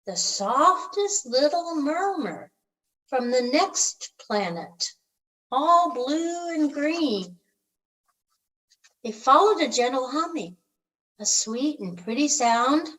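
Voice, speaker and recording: A woman reads a children's storybook aloud in a gentle, expressive storytelling manner, drawing out the ends of phrases. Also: fairly high pitch, rough recording. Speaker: storybook reader.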